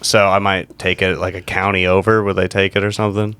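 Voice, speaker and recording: A man playing a hesitant, earnest customer on a prank call, halting and sheepish as he asks for advice. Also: low voice, little pitch movement, a bit bright, clear, resonant tone, no echo, pitched below the performer's own voice. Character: hesitant, earnest uncle asking a store clerk for advice.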